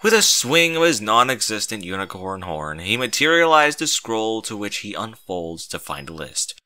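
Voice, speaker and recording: Male narrator, extremely bright forceful tone, lively intonation, theatrical, mock-epic, comedic story reading. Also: fairly low pitch, normal speed. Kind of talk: dramatic comedic fan-fiction narration.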